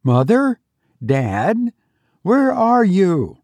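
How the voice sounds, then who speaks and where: A man's voice: high-pitched, wildly swooping pitch, drawn-out words, a little mellow, no room sound, pitched above the performer's own voice, exaggerated voice. A man voicing a youthful college-age son in a story reading, earnest and apologetic. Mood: calling out. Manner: clear, earnest.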